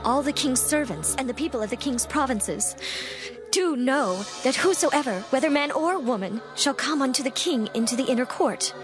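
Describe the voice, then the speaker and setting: A woman's voice: high voice, expressive, moderate pace, music in the background. A woman playing a dignified young queen, grave and resolute, speaking formally in a dramatized scripture reading. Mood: grave concern.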